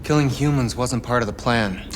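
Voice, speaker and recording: A man's voice: mid-range voice, moderate pace, natural voice. Man acting a sober rebel android over ambient noise. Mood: grave, cautious. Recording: game audio with clear ambient noise.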